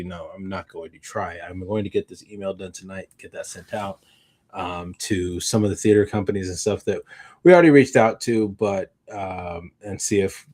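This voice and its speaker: A man chatting casually as a podcast co-host, halting and thinking aloud as he rambles along. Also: fairly low pitch, a little mellow. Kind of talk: casual unscripted chat.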